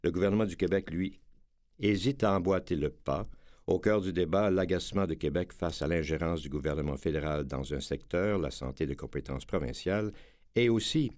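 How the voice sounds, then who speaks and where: A man hosting a radio magazine show and reading articles aloud in a measured, deliberate way. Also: low voice, a little mellow.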